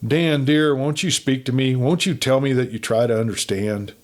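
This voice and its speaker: A man's voice: medium pitch, moderate pace, pitched above the performer's own voice, close to an everyday voice. Man voicing an earnest, pleading young frontier woman. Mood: desperate, pleading. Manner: urgent, pleading.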